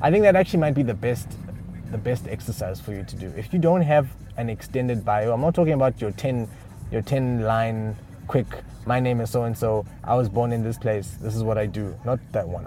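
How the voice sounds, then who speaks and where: A man's voice: lowish voice, warm tone. A man from Uganda, giving casual unscripted advice on music careers with lots of fillers and restarts. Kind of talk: casual spoken advice monologue.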